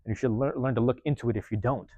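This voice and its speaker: A man hosting a podcast from a university room, giving a loose, slangy motivational monologue with lots of repetition, his voice getting a bit hoarse. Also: mid-range voice, steady tone, very fast, warm tone, no room sound, lo-fi sound. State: voice going hoarse. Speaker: podcast host.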